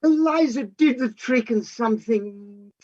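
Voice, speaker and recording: An actor playing a genial, mild-mannered gentleman in a staged play reading, polite and hesitant in his talk. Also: extremely high pitch, a little slow, muffled recording. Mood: genial, weary.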